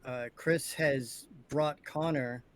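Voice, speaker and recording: A man's voice: medium pitch, fairly even intonation, slow, a loud crowd. A man who does voice acting, talking casually and explaining how he works. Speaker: voice actor. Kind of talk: casual chat. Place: indoors, on a group video call.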